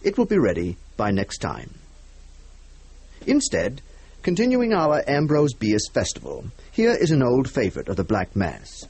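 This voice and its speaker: A man announces as host of a radio drama show. His voice is husky and moves expressively in pitch, with formal, measured phrasing and a dry, close studio sound. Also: wildly swooping pitch, darkish tone, dry recording.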